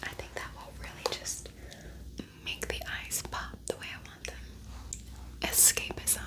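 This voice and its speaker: A woman speaks in a breathy, unvoiced whisper, as for an ASMR tutorial. Her delivery is soft and intimate, with casual phrasing and gently repeated words. She sounds like a host guiding the listener step by step. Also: measured pace.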